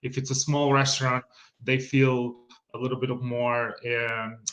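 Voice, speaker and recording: Bright-voiced Italian-accented man, halting. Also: medium pitch, level tone. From Italy. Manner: hesitant, many restarts, laughs often. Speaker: winery sales manager guest. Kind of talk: casual interview conversation.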